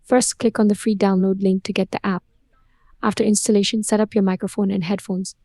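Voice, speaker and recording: A woman narrating a scripted product promotion in a smooth, upbeat, evenly paced read. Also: mid-range voice, little pitch movement, brisk, a little mellow, dry recording, clean studio sound.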